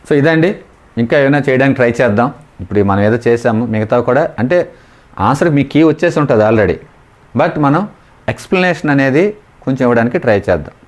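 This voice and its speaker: Telugu man teaching exam answers. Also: medium pitch. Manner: explanatory, repeats key terms. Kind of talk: informal lesson explaining exam questions and answers.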